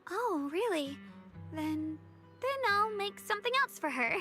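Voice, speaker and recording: An actress playing a sweet, caring young woman in an anime-style video game, speaking in a gentle, earnest and slightly hesitant way. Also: very high-pitched, expressive, a little slow, exaggerated voice. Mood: shy, eager. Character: sweet, caring young woman who bakes, shyly wistful. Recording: background music under voice.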